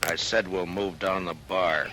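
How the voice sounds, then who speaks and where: An American man acting a stern, weary frontier marshal in 1950s radio drama, terse and forceful. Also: deep voice, steady tone, unhurried, a little hiss or hum. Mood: menacing. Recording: vintage radio recording with music.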